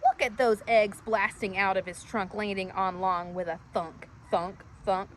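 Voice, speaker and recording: A woman storyteller reading a rhyming children's story aloud in an animated style. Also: medium pitch, unhurried, a little hiss or hum.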